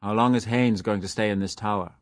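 Brief: male reader doing a brooding young man, low voice, terse and subdued, dry close sound. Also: steady tone, fairly quick, no echo, lightly acted. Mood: wary.

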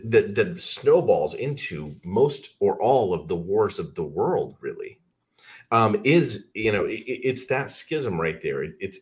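A man hosting a talk show, giving deliberate conversational commentary and often repeating words as he hesitates. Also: lowish voice.

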